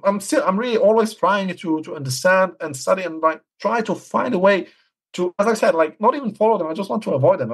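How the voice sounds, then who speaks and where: A man's voice: sits high, slightly rough. Man from Morocco explaining in casual interview. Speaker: podcast guest, trader.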